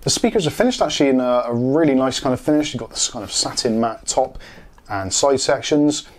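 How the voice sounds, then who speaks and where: A man's voice: medium pitch, lively intonation, fairly quick. Man giving a casual product review. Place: small home studio room.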